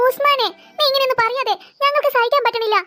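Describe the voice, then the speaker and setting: A woman's voice: extremely high pitch, steady tone, fast talker, bright, forceful tone, faint music behind. A woman voicing a caring child friend in a children's cartoon, pleading and insistent.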